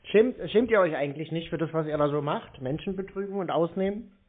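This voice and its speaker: A man's voice: fairly high pitch, fairly quick. A man chatting on the phone in a sarcastic, needling way. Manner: sardonic, needling. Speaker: scambaiter taking scam calls. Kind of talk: sarcastic prank phone chat. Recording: tinny narrow phone line.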